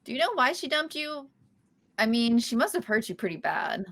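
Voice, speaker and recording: A quirky, chatty young woman, earnest and a bit goofy, as a woman reads her in a sitcom table read. Her voice sits a touch high, and her delivery is casual and unpolished. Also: very animated, normal speed, a bit bright, no room sound, exaggerated voice. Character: quirky, upbeat young woman nursing a breakup. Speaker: podcast host reading a part.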